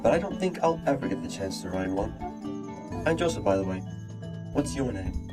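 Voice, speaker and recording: A woman's voice: rumbling low, sing-song, moderate pace, voice pushed down. Woman voicing a timid, meek young boy. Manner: meek and downcast. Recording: distant mic with music behind.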